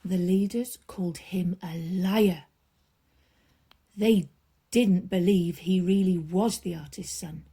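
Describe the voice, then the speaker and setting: A woman storyteller reading a children's bedtime story aloud, expressively, with drawn-out emphasis and hushed drops. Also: medium pitch, husky.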